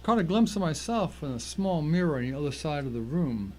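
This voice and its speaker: A man's voice: fairly high pitch, very animated, brisk. A man reading a scripted first-person thriller story as its narrator, in a dramatic, suspenseful way.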